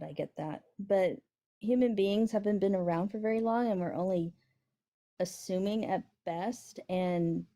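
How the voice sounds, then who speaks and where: High-voiced woman in her forties, hesitant. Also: dark tone. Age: 40s. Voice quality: high-pitched voice. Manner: hesitant, self-doubting. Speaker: caller. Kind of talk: casual debate conversation.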